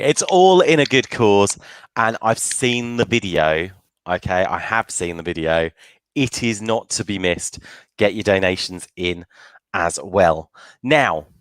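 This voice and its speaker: A man's voice: on the low side, a bit bright, no echo. A man hosting a live online fan panel show in a chatty presenting style.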